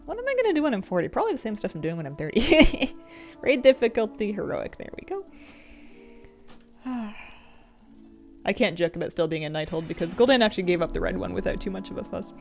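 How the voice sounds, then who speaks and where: A woman in her thirties chatting casually while she plays a game, rambling and halting with restarts and soft laughs. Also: medium pitch, sing-song, creaky, faint music behind. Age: 30s. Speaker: streamer. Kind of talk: casual chat while gaming.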